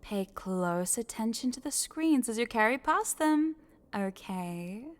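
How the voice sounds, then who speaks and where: A woman's voice: on the high side, animated, slow pace. A woman voicing a scheming, smugly controlling corporate boss in a scripted audio roleplay, coaxing the listener in a condescending, teasing, businesslike way. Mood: amused, commanding. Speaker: voice actor.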